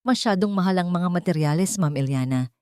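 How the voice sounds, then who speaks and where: Woman voicing a haughty, low-voiced female manager. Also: a little fast, warm tone, clear, resonant tone, no room sound, clean studio sound, lightly acted. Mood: cold, dismissive. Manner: cold, condescending, doubting.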